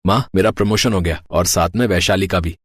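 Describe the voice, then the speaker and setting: Man as cartoon young husband, low, husky, plain-spoken, good-natured, patient. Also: fairly quick, dry recording. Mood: happy. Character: simple, good-natured young village-bred husband Amrit.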